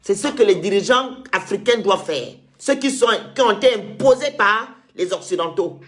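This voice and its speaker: A man's voice: sits high, animated, moderate pace, fairly bright tone. An insistent man hosts a call-in debate. He presses his points hard and trips over repeated words as he pushes ahead. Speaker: show host.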